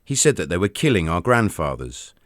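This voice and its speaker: Deep-voiced man narrating a documentary. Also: very animated, brisk, no room sound, clean studio sound. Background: faint background music.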